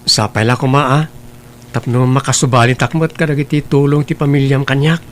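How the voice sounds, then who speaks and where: A man's voice: mid-range voice, fairly even intonation, a little fast, natural voice. A man in his twenties voicing an earnest, grateful young student who speaks humbly to his family in a family radio drama. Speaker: voice actor.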